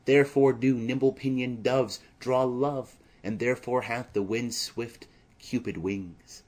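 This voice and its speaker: Man reading as an impatient lovesick girl, slow-paced. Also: mid-range voice, steady tone, darkish tone, natural voice. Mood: impatient, yearning. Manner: yearning, restless, poetic.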